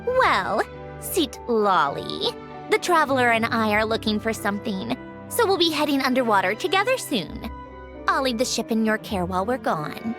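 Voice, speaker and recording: A woman's voice: on the high side, sing-song, moderate pace. A woman voicing a proud, guarded young woman inventor in a video game, speaking in a composed, precise way. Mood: composed, polite. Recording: background music under the voice.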